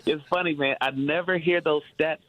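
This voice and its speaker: A man being interviewed as a guest on a radio show, talking in a relaxed way and laughing often. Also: on the high side, fairly quick, no room sound. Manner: laughing, easygoing. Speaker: guest musician. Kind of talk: casual interview chat.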